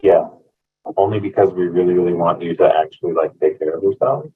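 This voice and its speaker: A man's voice: low-pitched, steady tone, brisk, breathy edge. A man from a housing staff answering a question in a joking, informal way. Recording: distant tinny phone-like audio.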